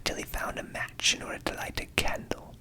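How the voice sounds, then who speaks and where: A man whispering a fairy tale softly and soothingly as an ASMR storyteller, with a breathy delivery and measured pauses.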